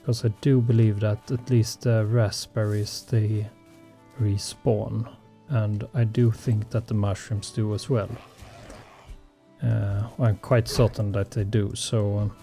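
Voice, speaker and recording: Slow, hesitant Swedish-born man. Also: on the low side, little pitch movement, vocal fry, dark tone, faint music behind. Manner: slow, hesitant, many fillers. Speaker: gaming channel host. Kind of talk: relaxed gameplay commentary.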